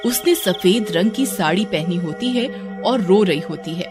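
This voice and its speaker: A woman's voice: mid-range voice, expressive, measured pace, music in the background. A woman reading scripted narration for a cartoon story.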